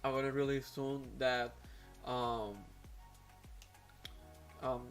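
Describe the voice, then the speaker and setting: A man's voice: mid-range voice, level tone, slow pace, soft background music. A man whose first language is Spanish, speaking English with a Spanish accent as host of an aquarium live stream, talking casually with many fillers and restarts.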